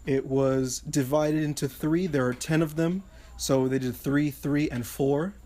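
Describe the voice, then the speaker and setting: A man's voice: fairly high pitch, steady tone, measured pace, warm tone. A young man hosting a casual podcast panel outdoors at night, laid-back and steering the chat. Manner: laid-back, hosting over side chatter. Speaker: podcast host.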